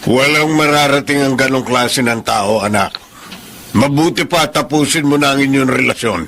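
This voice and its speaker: A man's voice: mid-range voice, moderate pace, a bit bright, a little hiss or hum, natural voice. A man playing a stern, calculating older father and law office boss in a Filipino radio drama. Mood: stern, scornful.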